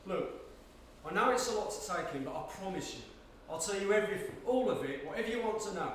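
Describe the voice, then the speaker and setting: A man's voice: high-pitched, lively intonation, quick pace, fairly bright tone. A man acting an older, coaxing Cockney ex-soldier in a stage play, full of jokey slang and fatherly fussing. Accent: London Cockney. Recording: echoey room, distant mic, faint hiss.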